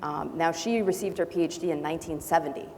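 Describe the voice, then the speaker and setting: A woman from Brazil and Honduras whose first language is not English, speaking as a panelist with thoughtful pauses and restarts. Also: low voice, darkish tone. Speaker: panelist, faculty member. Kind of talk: panel discussion. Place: large auditorium. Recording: long hall reverb, faint noise.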